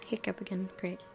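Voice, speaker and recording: A woman streamer reads a visual novel's narration aloud with dramatic expression and casual asides. Her mid-pitched voice has a noticeable fry to it. Faint music plays behind her. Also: dark tone, low-quality recording. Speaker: game streamer.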